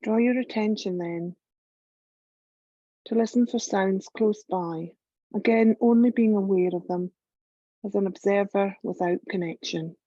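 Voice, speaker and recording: A woman leading a guided meditation as a yoga teacher, calm and measured, giving gentle instructions with long pauses. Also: medium pitch, dry recording, highs cut off.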